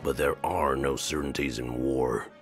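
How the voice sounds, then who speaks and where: A man voicing a grave, cautious war strategist in a fantasy visual novel, speaking in a measured, solemn way. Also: extremely low pitch, steady tone, faint music behind, pitched below the performer's own voice. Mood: grave warning.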